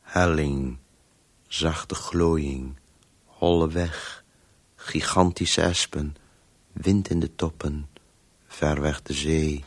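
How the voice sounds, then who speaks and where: An exhausted, obsessive storyteller in a radio play. His voice is extremely deep and monotone, doling out short fragments very slowly as he urges himself on. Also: full character voice. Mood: bleak, narrating. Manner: fragmented, terse, monotone, urging. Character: weary, driven inner voice of a man compulsively storytelling. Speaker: radio drama actor. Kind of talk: Dutch radio play monologue.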